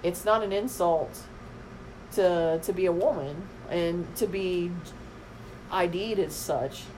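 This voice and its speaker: A middle-aged woman with a very deep voice, chatting bluntly and matter-of-factly as a podcast guest. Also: takes it slowly, a little mellow, slight background noise, rough recording. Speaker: guest, the host's mother. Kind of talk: casual chat.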